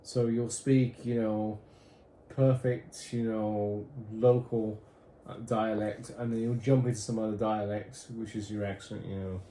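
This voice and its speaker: A man's voice: fairly low pitch, little pitch movement, measured pace, mellow. British guy, accent gone half american, rambling and joking, a bit of room echo and faint hiss. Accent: British, drifting toward American. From Britain. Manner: rambling, easygoing, jokey. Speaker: husband in a couple's question game. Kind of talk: casual chat. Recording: echoey room, faint background noise.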